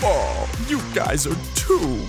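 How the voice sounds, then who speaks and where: A man's voice: a little high, huge pitch swings, slow pace, music in the background, exaggerated voice. A man playing a gleefully sadistic livestream host in an audio drama, grandiose and singsong, with mock courtesy. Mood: gleeful showmanship.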